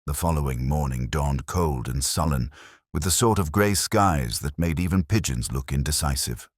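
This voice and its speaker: A man narrating a scripted mystery story in a measured, wry, literary way. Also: a bass voice, husky, dry recording, studio quality.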